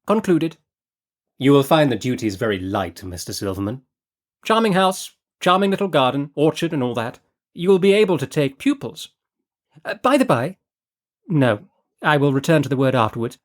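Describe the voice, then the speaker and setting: Guy putting on a fluttery posh lady voice, way higher than his own, all gush and flutter. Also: very animated, moderate pace, lightly acted. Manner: effusive, flighty, theatrical. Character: gushing aristocratic lady, a scheming mother. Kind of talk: audiobook character reading.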